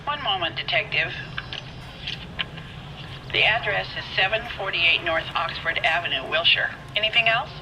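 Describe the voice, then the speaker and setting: Brisk operator on noisy phone line. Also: female voice, fairly low pitch, expressive. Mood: businesslike. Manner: efficient, formal. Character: brisk, polite 1940s police switchboard operator. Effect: telephone filter. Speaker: telephone operator. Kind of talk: video game dialogue.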